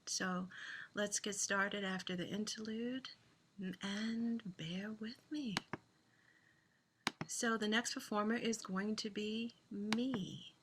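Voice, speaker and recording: Woman poet and host on a video call, soothing and bright, gentle and sincere. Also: mid-range voice, gravelly. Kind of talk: hosting an online poetry event and reciting spoken word.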